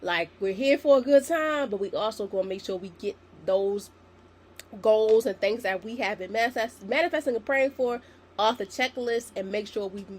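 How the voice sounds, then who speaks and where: A young woman in her twenties hosting a solo podcast, slightly raspy from a sinus infection, chatty and encouraging as she talks to her listeners. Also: medium pitch. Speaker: podcast host. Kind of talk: casual solo podcast talk.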